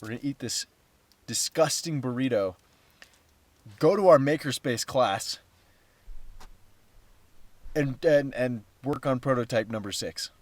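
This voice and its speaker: A man's voice: medium pitch, unhurried, long pauses, no echo. A young man vlogging casually about his startup, jokey and rambling as he tells stories. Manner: upbeat, jokey, rambling storyteller. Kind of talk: casual vlog chat.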